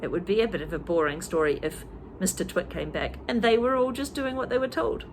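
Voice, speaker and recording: A teacher reading a children's book aloud, with chatty asides to young listeners. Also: female voice, lowish voice, expressive, brisk, slight background noise.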